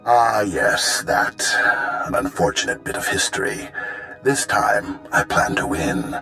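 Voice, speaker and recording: A smug bounty hunter villain from a sci-fi game. The voice is low and theatrical, articulate and full of self-regard, over quiet music. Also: male voice, big pitch swings, takes it slowly, vocal fry, exaggerated voice, poor audio. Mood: smug, gloating. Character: pompous, gloating male Mandalorian bounty hunter villain. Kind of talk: video game voice acting.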